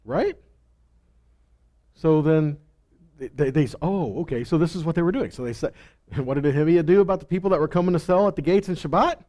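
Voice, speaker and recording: High-voiced man teaching scripture informally. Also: fairly quick, long pauses, warm tone. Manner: conversational, asks rhetorical questions, acts out imagined replies. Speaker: Bible teacher. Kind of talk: informal sermon with scripture reading and commentary.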